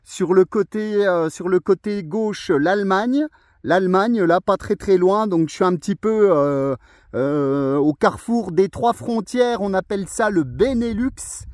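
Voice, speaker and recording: A chatty man makes a portable ham radio contact from an outdoor summit, talking freely with many fillers. His voice sits high for a man. The sound is close and dry. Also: a little slow, a little mellow, no echo. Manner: chatty, friendly, frequent fillers. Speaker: radio amateur operating outdoors. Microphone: portable radio, filming a video.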